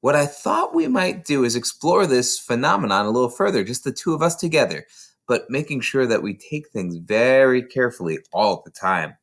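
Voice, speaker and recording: A man voicing a patient, careful woman schoolteacher in a storybook, with a measured, explanatory, cautioning manner. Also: mid-range voice, very animated. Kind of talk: children's storybook read aloud.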